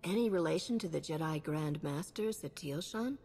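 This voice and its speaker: An actress playing a cool, confident sci-fi hero in a video game, with a dry, self-assured delivery. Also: female voice, deep voice, expressive, brisk, dark tone, in a large hall, a little hiss or hum. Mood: questioning. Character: cool, confident female space hero, dryly wry. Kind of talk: video game cutscene acting.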